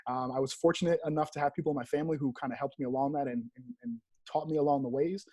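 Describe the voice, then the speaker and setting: A man's voice: a little high, fairly even intonation, very fast, warm tone, husky, dry recording. An American man in his thirties from Rhode Island, a real estate agent telling his story casually as an interview guest. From Rhode Island, United States.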